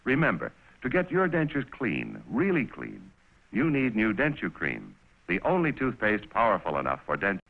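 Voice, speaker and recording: Low-pitched male announcer, very animated. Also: moderate pace, vocal fry, husky. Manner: emphatic, persuasive sales delivery. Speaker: commercial announcer. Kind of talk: 1960s TV commercial announcing, scripted narration. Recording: dull vintage TV film soundtrack.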